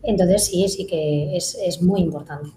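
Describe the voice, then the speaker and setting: A woman's voice: on the low side, unhurried, dark tone. Echoey woman speech therapist. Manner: hesitant, many fillers. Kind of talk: live conference Q&A. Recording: echoey room, faint noise.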